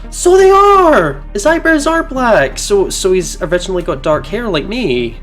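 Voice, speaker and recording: A man's voice: high-pitched, big pitch swings, moderate pace, fairly bright tone. A man hosting a playthrough, switching between dramatic first-person narration of a visual novel and casual, reactive banter with some swearing. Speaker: let's play host reading a visual novel aloud. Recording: game music behind voice.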